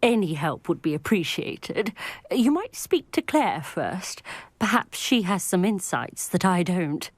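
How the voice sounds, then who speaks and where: An actress playing a chatty, worried, widowed older village woman in a fantasy video game, with a British accent and expressive, folksy phrasing. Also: lowish voice, a little slow, no room sound. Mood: warm, grateful. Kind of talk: video game character dialogue.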